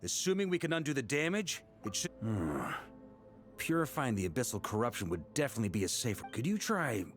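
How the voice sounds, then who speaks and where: An actor playing an easygoing veteran knight commander in a video game, expressive and given to improvising as he talks. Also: mid-range voice, brisk, lightly acted. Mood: thoughtful. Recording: background music behind the voice.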